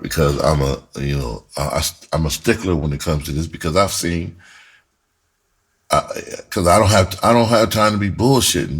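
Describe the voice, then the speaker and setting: A middle-aged American man with a husky voice tells his own story casually, speaking bluntly in emphatic, profanity-laced street slang. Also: low-pitched. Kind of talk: casual first-person storytelling to camera.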